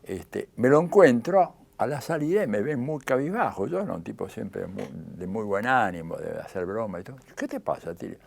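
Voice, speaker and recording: Gravelly older Argentine storyteller. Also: male voice, on the low side, moderate pace, hardly any pauses. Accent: Rioplatense Argentine. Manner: animated anecdotal storytelling, acting out quoted dialogue. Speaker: interviewee. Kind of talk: storytelling interview.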